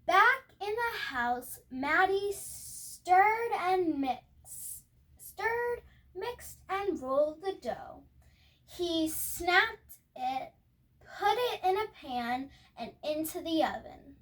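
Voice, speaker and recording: A woman's voice: extremely high pitch, very animated, extremely slow, a bit bright, low-quality recording. A young girl reads a picture book aloud expressively, stumbling now and then and correcting herself, with asides to viewers. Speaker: storybook reader.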